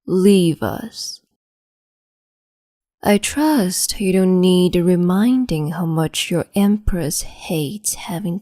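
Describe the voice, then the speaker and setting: A woman voicing a cruel, capricious empress in an ASMR roleplay, speaking slowly and mockingly and slipping into whispers. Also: fairly low pitch, mellow, dry recording, clean studio sound. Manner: slow, mocking, condescending, drifts into whispers.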